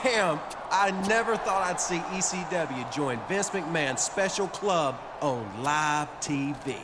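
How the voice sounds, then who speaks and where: A man's voice: a little high, animated, slow. A man playing a cocky, defiant pro wrestler in a video game, talking in a mocking way. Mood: mocking defiance. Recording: noisy ambience behind the voice.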